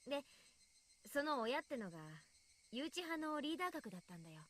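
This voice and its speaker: A woman's voice: high voice, sing-song, rapid-fire, fairly bright tone. A woman acting a guarded, serious teenage village girl in a Japanese visual novel. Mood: hesitant, somber.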